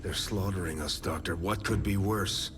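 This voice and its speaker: A fairly deep-voiced actor as a male military officer in a game cutscene, weary and grim. He speaks tersely, with prominent music behind him. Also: normal speed, close to an everyday voice. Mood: weary, bitter. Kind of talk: scripted video game cutscene acting.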